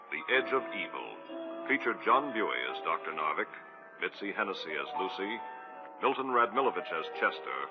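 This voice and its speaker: A man announcing formally in the style of an old-time radio broadcast, reading out a scripted sign-off. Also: deep voice. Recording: dull vintage radio recording. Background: music.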